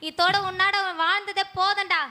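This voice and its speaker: A quarrelsome housewife, voiced by a woman in a live puppet show. She scolds and orders people about in a very high, bright, piercing voice. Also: flat delivery, moderate pace, exaggerated voice. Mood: scolding. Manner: scolding, shrill, bossy. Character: shrill angry housewife, quarrelsome.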